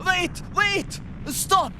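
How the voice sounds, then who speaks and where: A man playing an excitable, defiant scientist, emphatic and theatrical in the manner of a 1930s radio serial. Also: drawn-out words, full character voice. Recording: clear rumbling background noise.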